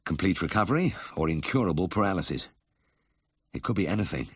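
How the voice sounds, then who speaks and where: A man voicing a bedridden, cheerful ex-miner with a husky South Yorkshire accent in a story reading. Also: sits low, lively intonation, brisk, no room sound, studio quality. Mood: anxious, direct. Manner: plain-spoken dialect, warm and uncomplaining.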